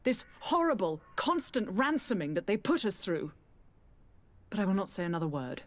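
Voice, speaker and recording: A woman's voice: mid-range voice, sing-song, normal speed, no room sound, lightly acted. A woman playing a haughty, guarded noble lady in a period fantasy video game, formal and easily indignant. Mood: bitter, guarded.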